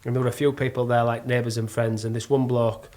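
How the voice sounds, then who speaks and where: A man's voice: medium pitch, little pitch movement, fast talker, darkish tone. Middle-aged Yorkshire man telling jokey anecdotes. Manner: jokey, self-mocking storyteller. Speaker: podcast guest, actor and plasterer. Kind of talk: casual chat, animated anecdote telling.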